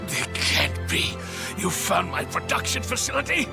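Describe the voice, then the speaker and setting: An actor voicing a haughty, scornful villain officer in a video game, with theatrical contempt. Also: male voice, sits high, sing-song, a little slow, gravelly, loud music behind the voice, full character voice. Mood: shocked. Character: sneering, arrogant male villain officer, contemptuous and cornered. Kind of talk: dubbed video game cutscene acting.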